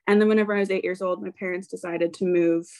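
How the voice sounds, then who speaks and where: A woman in her thirties with an American accent, a coach speaking as a podcast guest in a relaxed, candid way and laughing easily. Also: on the low side, fast talker, no room sound. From United States. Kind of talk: interview conversation.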